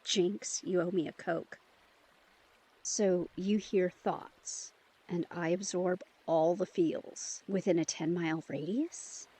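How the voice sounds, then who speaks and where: A woman playing an empathic, caring young vampire in an intimate audio drama, breathy, probing and gently teasing. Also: lowish voice, steady tone, slow pace, poor audio. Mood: curious, playful.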